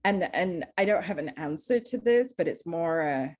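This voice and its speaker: A woman's voice: lowish voice, animated, normal speed, darkish tone. A woman from Zambia, speaking earnestly as a panelist and often restarting her sentences. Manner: earnest, searching, many restarts. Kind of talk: panel discussion.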